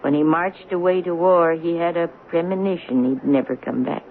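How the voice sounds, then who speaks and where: A woman acting as an elderly romantic spinster with a low voice for a lady, precise and reflective. She is pious and gently probing, in vintage radio drama style, heard through a narrow, slightly noisy broadcast. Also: fairly even intonation, normal speed, close to an everyday voice. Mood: wistful. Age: older.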